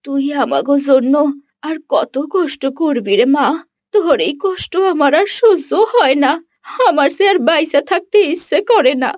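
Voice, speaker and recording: A woman's voice: high voice, lively intonation, moderate pace, no echo, studio quality. A woman voicing a sickly, weary village mother in a cartoon, sorrowful, with a rural Bangladeshi accent. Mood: weary despair.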